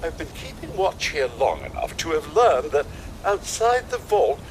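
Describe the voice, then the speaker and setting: Fussy, swooping polite robot butler. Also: male voice, a little high, very animated, unhurried, slight background noise, poor audio. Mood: genteel, measured. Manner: formal, genteel, wordy. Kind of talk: scripted video game dialogue.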